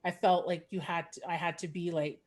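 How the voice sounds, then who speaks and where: Low-voiced woman, chatty. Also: level tone, a little fast. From Canada. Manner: chatty, laughs between phrases. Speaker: podcast host, comedian. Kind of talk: casual chat.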